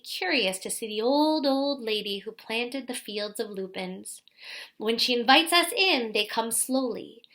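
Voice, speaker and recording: High, bright-voiced woman reading a storybook. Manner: pauses to ask young listeners questions. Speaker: teacher reading a picture book aloud. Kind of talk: expressive storybook read-aloud for children.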